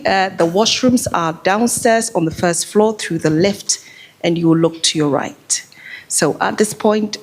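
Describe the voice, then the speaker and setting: Woman, event MC, welcome and housekeeping, medium pitch, some background noise. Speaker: master of ceremonies. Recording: clear background noise, live event room.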